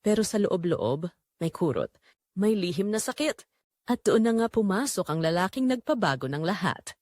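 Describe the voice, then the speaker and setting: A woman with a Filipino accent narrating a scripted romance story in an expressive, measured way with dramatic pauses. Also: medium pitch, no room sound, clean studio sound.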